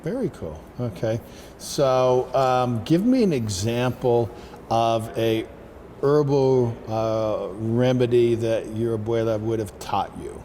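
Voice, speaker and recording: A man's voice: mid-range voice, slow, mellow, slight background noise. A man hosting an interview show, chatting casually with many fillers and friendly questions. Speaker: talk show host.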